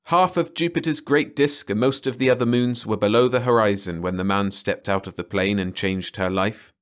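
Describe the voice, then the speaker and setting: A man's voice: on the low side, moderate pace. A man reading a short story aloud as a scripted bedtime audiobook narration.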